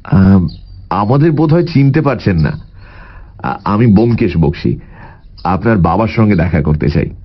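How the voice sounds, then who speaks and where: Courteous, persistent detective for audio drama: lowish voice, animated intonation, slightly muffled recording. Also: male voice, normal speed, long pauses. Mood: polite, probing. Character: polite, probing male private detective, calmly persistent. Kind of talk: audio story acting.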